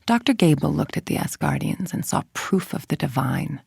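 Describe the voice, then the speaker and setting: Cold scheming scientist villain for a video game, low husky voice, controlled n menacing. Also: female voice, expressive, normal speed, a little mellow, no echo, studio quality, exaggerated voice. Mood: cold, measured. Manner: clipped, controlled, authoritative and menacing. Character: cold, calculating middle-aged female villain scientist.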